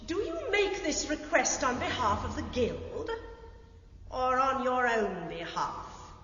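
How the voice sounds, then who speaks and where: An actress playing a solemn, grave guild elder in a fantasy audio drama, with deliberate, formal diction. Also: female voice, high-pitched, big pitch swings, fairly bright tone, full character voice. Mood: grave, probing. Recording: dull echoey hall with long reverb.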